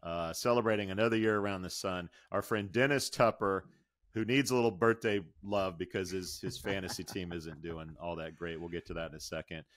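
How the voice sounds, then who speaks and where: A man's voice: sits low, steady tone, fairly quick, a bit bright, dry recording. A middle-aged American man co-hosting a podcast, switching between upbeat banter and news reading into a close microphone. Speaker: podcast co-host. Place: indoor room at home, video call.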